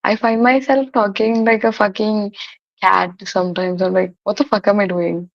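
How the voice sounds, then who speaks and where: A teenage girl with a deep voice and a sore throat, sarcastic and deadpan, who swears casually. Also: moderate pace, creaky. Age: late teens. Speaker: video chat stranger. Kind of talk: casual chat. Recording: lo-fi video chat audio.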